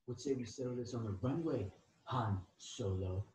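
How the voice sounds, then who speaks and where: A man's voice: mid-range voice, normal speed, a little mellow, husky. A man playing a vain, touchy young male model with a cocky, taunting manner in an amateur comedy table read over a video call. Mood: cocky, challenging. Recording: muffled, noisy video call.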